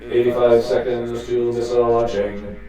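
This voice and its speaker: A man's voice: medium pitch, little pitch movement, moderate pace. A man voicing an impassive missile computer from a comic book, announcing in clipped, mechanical fashion with an echo effect. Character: cold mechanical countdown computer voice. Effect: heavy echo. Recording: heavily echoing, faint music behind.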